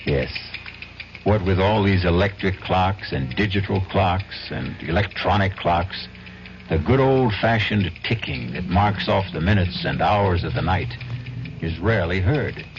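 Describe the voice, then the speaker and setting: A man hosting a 1970s radio mystery, narrating in a measured, wry, suspenseful way. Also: low-pitched, animated, noisy background. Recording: dull old radio broadcast. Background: dramatic music.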